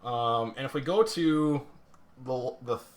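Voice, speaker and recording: A man's voice: mid-range voice, a little slow. A man in casual, rambling live-stream talk, full of fillers and restarts, showing a pinball playfield up close on camera. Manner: rambling, lots of fillers and restarts, self-deprecating. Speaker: pinball restorer streaming a teardown.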